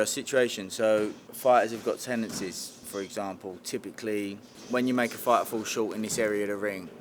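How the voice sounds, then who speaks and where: A man with a fairly low voice speaks as a coach in an interview. He breaks down tactics plainly and analytically in short, clipped phrases, over clear background noise. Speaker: boxing coach. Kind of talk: interview explanation of training and tactics. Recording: loud background noise, on location.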